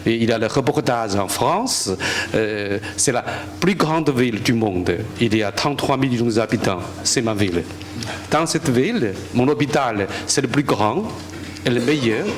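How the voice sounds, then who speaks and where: Fields: Chinese-accented man, halting French. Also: mid-range voice, animated. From China. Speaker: invited guest surgeon. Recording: noisy room.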